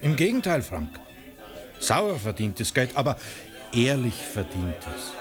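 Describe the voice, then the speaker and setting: A man's voice: medium pitch, very animated, slow pace, over music. An actor playing an honest, fatherly guardian in a radio drama, pleading and moralising. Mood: earnest, persuading. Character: earnest, honest, fatherly male guardian, exasperated. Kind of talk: 1980 German radio drama acting.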